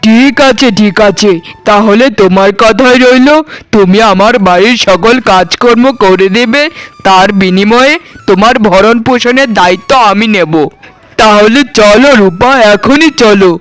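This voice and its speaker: A man's voice: high voice, a little slow, fairly bright tone, no echo, poor audio. A man voicing a noble, protective young landlord's son in a cartoon, formal and declamatory. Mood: kindly. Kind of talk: Bengali cartoon voice acting.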